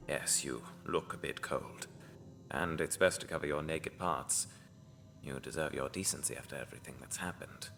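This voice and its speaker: A man playing a mysterious, grim healer in an audio drama, commanding yet consoling, with measured, ominous, formal phrasing. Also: extremely low pitch, creaky, bright, forceful tone, soft background music, exaggerated voice. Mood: solemn.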